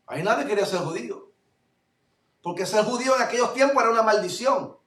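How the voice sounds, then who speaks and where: A male pastor from Puerto Rico with a Puerto Rican accent, preaching a fervent sermon that swings between loud declarations and low asides. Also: lively intonation, fast talker. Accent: Puerto Rican Spanish. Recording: echoey room, voice from far off mic.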